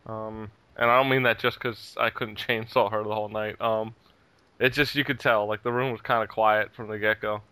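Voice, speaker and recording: A man's voice: lowish voice, fairly even intonation, moderate pace, pushed and bright, clear, resonant tone, dry recording. A man hosting a casual gaming podcast, chatting easily and chuckling between remarks. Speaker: podcast host.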